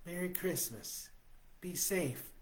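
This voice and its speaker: A man voicing a caring, anxious woman friend in a higher voice, fretful and insistent. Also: takes it slowly. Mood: concerned.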